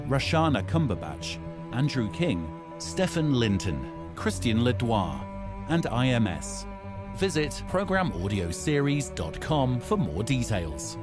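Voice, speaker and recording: A man reading scripted closing credits as the announcer of a fiction podcast. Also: medium pitch. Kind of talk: scripted narration.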